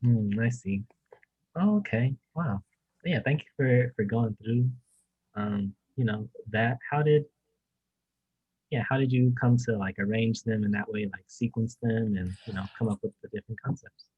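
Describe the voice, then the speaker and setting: Laid-back male interviewer, breathy tone, dry muffled audio. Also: on the low side, moderate pace, no room sound. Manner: relaxed, encouraging, frequent mm-hmms. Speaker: interviewer host. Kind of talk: casual interview. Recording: dull, dry, lo-fi call audio.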